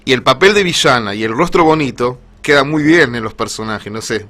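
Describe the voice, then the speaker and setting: A man's voice: medium pitch, animated, fairly quick, a bit bright. An Argentine man hosting a casual radio interview, agreeing often and speaking in a deferential, somewhat hesitant way. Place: radio show.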